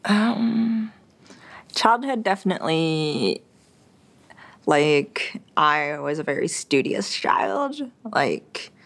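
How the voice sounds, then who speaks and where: A woman's voice: deep voice, expressive, very slow, creaky, a bit bright. A young woman from Quebec whose first language is French, talking casually as an interview guest, with many fillers and restarts. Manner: chatty, frequent fillers and restarts.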